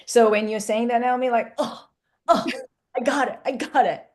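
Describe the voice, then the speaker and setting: A woman's voice: fairly high pitch, normal speed. A woman from Japan in her forties with a Japanese accent, co-hosting a live webinar and chatting with an easy laugh. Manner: warm, laughing, animated. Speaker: face yoga founder and co-host.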